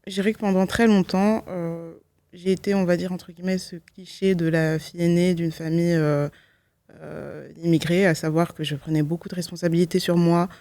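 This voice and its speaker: A woman's voice: lowish voice, steady tone, normal speed, warm tone, no echo. Reflective young woman, close dry sound. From Belgium. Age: 20s. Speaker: guest, law student giving testimony. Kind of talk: personal testimony in an interview.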